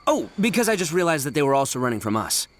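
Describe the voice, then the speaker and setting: Brash, swaggering young gang leader. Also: male voice, fairly high pitch, sing-song, fast, slight background noise. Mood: thoughtful, confident. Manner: boastful, swaggering, colloquial. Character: brash, cocky young male gang leader, boastful but easily spooked. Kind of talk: animated video game voice acting.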